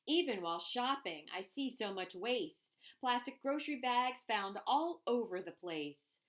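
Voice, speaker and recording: High-pitched woman reading aloud, very animated. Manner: expressive, deliberate storybook delivery with emphasised phrases. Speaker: school principal reading aloud to children. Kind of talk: children's picture book read-aloud in rhyming verse.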